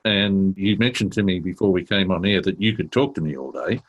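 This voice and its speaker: A man hosts a business podcast interview. His voice is low and a bit husky, and he keeps a friendly, affirming tone with his guest. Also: fairly quick, lo-fi sound. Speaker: podcast host.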